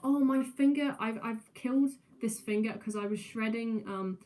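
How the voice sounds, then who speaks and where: A young woman with a mixed southern English and New Zealand accent chatting casually on a live stream, halting with many ums and restarts, recorded without a proper microphone. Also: on the high side, dark tone. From England, raised in New Zealand. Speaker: musician streamer.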